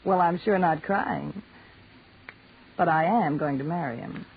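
An actress playing a brazen, scheming, fading American film star in a 1940s radio drama, slangy and mocking. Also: female voice, on the low side, a little slow, gravelly, slight background noise. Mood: taunting. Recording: muffled 1940s radio recording.

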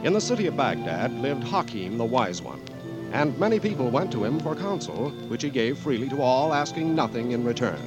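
An American man announcing in a formal, measured, scripted 1940s radio style. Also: medium pitch, poor audio. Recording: vintage 1940s radio broadcast, music behind.

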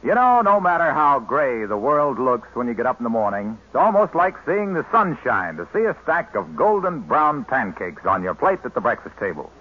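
A man's voice: mid-range voice, very animated, moderate pace, hardly any pauses. A man working as a 1940s radio announcer, reading scripted advertising with folksy, emphatic salesmanship. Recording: thin, phone-like vintage radio recording.

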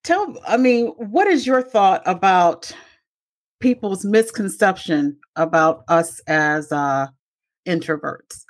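A woman hosting a podcast interview, asking unhurried questions and giving brief encouraging replies. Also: lowish voice, lively intonation. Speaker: podcast host. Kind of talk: casual interview.

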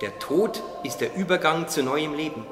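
A man voicing a wise, gently teasing caterpillar in a radio play, explaining things earnestly. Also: fairly high pitch, lively intonation, moderate pace, natural voice. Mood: hopeful, insistent. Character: wise, teasing caterpillar confidant, calmly preaching hope. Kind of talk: German religious audio drama acting. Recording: echoey reverberant hall, music underneath.

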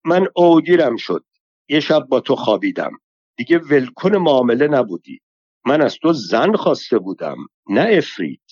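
A man playing a gruff male cart driver who talks in a rough, blunt, threatening way, on a slightly dull recording. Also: medium pitch, big pitch swings, a little mellow, no echo. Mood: complaining.

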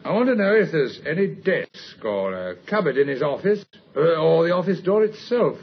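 Polite police sergeant, old radio play, formal, highish husky voice, muffled recording. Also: male voice, sing-song, exaggerated voice. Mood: polite, apologetic. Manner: courteous, halting, formal. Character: polite, deferential, diligent male police sergeant. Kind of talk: old-time radio drama acting.

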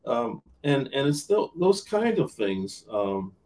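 A man's voice: mid-range voice, very animated, takes it slowly, a little mellow, distant mic. Earnest man preacher, a little off-mic. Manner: earnest, many restarts and repeated words. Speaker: minister. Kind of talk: podcast interview talk.

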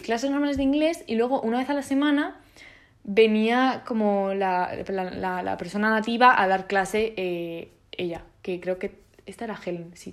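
A nineteen-year-old woman from Spain with a Spanish accent, talking in a casual vlog with many restarts and fillers and lots of slang. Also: mid-range voice. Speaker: vlogger.

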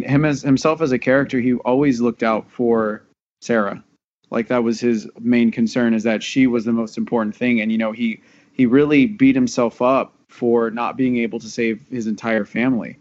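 A man's voice: medium pitch, a little mellow. A man talking earnestly and reflectively in a casual panel discussion as a member of an audio drama cast. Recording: dull lo-fi sound.

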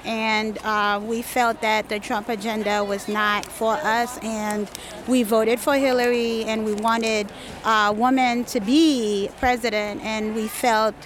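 A woman from Haiti speaking calmly and sincerely in a street interview. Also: a little high, slow pace, crowd noise. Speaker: march attendee.

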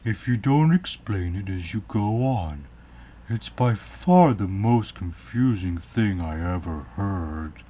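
Very slow, mournful Mock Turtle. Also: male voice, on the low side, animated, dark tone, slightly rough, heavily acted. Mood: complaining. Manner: drawn-out, doleful, sighing. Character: mournful, querulous old Mock Turtle, sentimental storybook creature. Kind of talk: storybook character acting.

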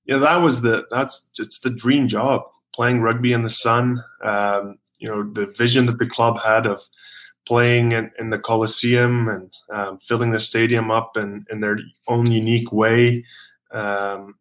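A man's voice: mid-range voice, little pitch movement, a little slow, fairly bright tone. A man in his thirties from South Africa, a rugby player guest talking casually in an interview, rambling with many fillers.

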